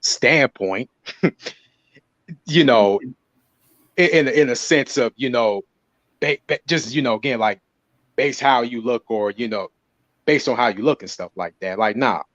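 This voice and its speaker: Man, casual discussion, halting, lots of fillers, dry sound, dull muffled audio. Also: mid-range voice, fairly bright tone, no echo. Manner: halting, many fillers and restarts.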